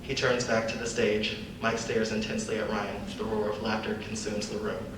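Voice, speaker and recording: A man's voice: lowish voice, little pitch movement, moderate pace. A man reading scripted stage directions as a narrator in a live reading. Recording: distant echoey room, clear background noise.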